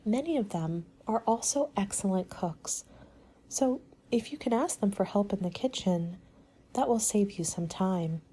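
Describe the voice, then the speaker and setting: A woman doing a soft-spoken ASMR roleplay as a helpful party planner, gentle and measured, often slipping into a whisper. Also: mid-range voice, animated, husky.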